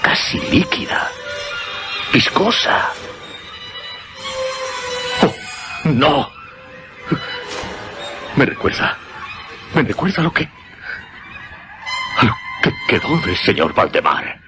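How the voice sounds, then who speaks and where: An actor with a Castilian Spanish accent playing a fearful, haunted magnetizer, halting and repeating himself in a dramatic radio suspense style. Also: male voice, mid-range voice, huge pitch swings, music in the background, rough recording. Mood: mounting terror. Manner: halting, breathy repetitions, dramatic. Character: haunted, fearful male magnetizer shaken by horror.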